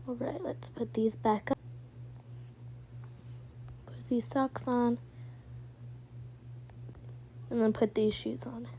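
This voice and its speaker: Woman, slightly high mellow voice, unhurried, informal step-by-step role-play narration, faint background noise. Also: fairly even intonation. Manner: informal, talking through each small step. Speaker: video creator.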